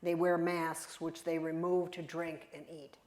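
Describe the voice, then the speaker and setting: Echoey, low-voiced older woman narrator. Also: level tone, a little slow, darkish tone. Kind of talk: reading stage directions. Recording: echoey room.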